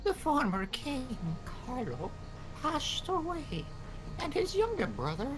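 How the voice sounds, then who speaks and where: Woman doing a slow, high-voiced gossipy old midwife. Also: huge pitch swings, over music, heavily acted. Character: gossipy, saucy old village midwife in a fantasy game. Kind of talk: amateur video game dub.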